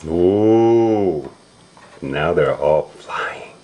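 A man with a fairly low voice reads a children's storybook aloud. His pitch swings expressively and he takes his time, repeating simple words and explaining as he goes. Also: animated, lo-fi sound, a little hiss or hum. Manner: simple, repetitive, drawn-out words with exclamations. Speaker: storybook reader for young relatives.

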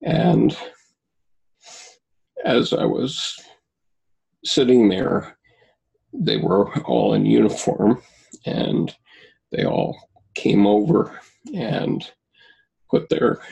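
A man in his seventies, a guest recounting personal experiences in a halting way, with many pauses and restarts. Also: lowish voice, animated, creaky, dark tone, breathy edge, highs cut off. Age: 70s.

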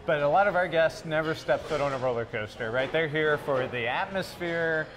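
A man's voice: fairly high pitch, normal speed, music in the background. A man in his forties, a theme park executive, giving polished, upbeat answers as a guest in a promotional interview. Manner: polished, upbeat corporate talk. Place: inside a decorated restaurant.

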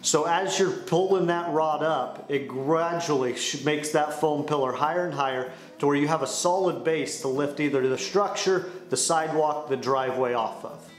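Higher-voiced young man in an echoey room. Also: normal speed, hardly any pauses. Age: young adult. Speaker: sales director presenting a company explainer video. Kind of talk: scripted explainer to camera. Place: small indoor studio with dark wall panels. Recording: roomy echo on the voice.

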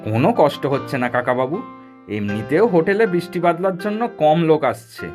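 A man voicing a kind, dutiful village food-hotel owner in a cartoon, earnest and expressive. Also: fairly high pitch, fairly quick, over music. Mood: respectful. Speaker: cartoon voice actor. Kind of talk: Bengali cartoon dubbing.

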